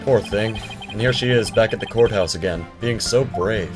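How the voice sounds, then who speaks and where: A man's voice: on the low side, normal speed, close to an everyday voice. A man playing an earnest, composed defense attorney with a polite, assured manner in a video game fandub. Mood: reassuring. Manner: polite, assured, occasionally exasperated. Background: prominent game music.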